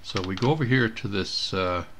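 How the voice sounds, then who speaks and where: A man's voice: on the low side, normal speed, a bit bright, a little hiss or hum. A man giving a casual screen tutorial as an amateur radio operator, thinking aloud as he works. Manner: thinking aloud while working. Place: indoors at a computer.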